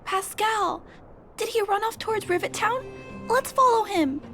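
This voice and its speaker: Young girl game character: very high voice, gentle, polite, earnest, resolute, over loud music. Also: a little slow, exaggerated voice. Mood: alarmed, urgent. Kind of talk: video game voice acting.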